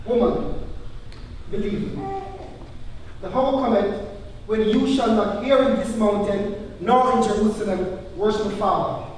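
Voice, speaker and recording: A man acts out a solemn, authoritative holy teacher in a live church play, his voice fairly high. He declares archaic scripture from far off in a very echoey hall. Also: unhurried, long pauses, a little mellow. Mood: solemn teaching. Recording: distant echoey hall, faint noise.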